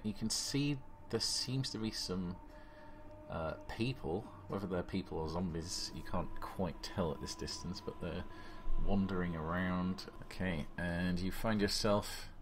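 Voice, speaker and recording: A man's voice: sits low, a little slow, background noise. A man working as game master, narrating an improvised horror role-playing game in a descriptive, conversational way.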